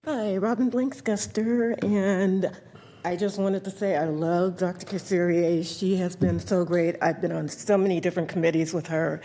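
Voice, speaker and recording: A woman gives a warm, plainspoken public comment at a meeting podium. Her voice is mellow and fairly low.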